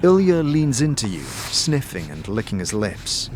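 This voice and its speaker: A man narrating a fantasy audio drama in the second person, with a deliberate, descriptive storytelling delivery. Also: medium pitch, big pitch swings, noisy background. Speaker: narrator and game master.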